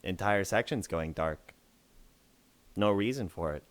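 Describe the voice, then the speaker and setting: A man's voice: sits low, animated, unhurried. A man acting in an audio drama as a skeptical, sarcastic male mole worker who talks in a teasing, dismissive way. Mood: casual.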